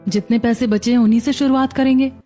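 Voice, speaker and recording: Woman as young daughter-in-law, kind, hopeful, higher pitch, cartoon drama, background music. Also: steady tone, fast talker, warm tone, natural voice. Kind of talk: Hindi cartoon story acting.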